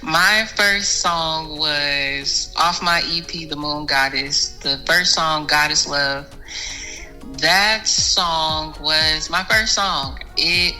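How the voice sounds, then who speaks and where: Very bright low-voiced woman. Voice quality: soothing voice. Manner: animated, restarts and repeats words, laughs often. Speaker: podcast guest, singer. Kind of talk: casual interview chat.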